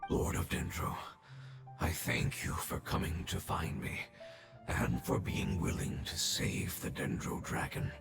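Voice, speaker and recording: An actor playing a dying ancient male creature who speaks in a hushed, gravelly, solemn way in a game cutscene. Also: sits low, lively intonation, heavily acted. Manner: solemn, measured farewell. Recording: echoey voice over game music.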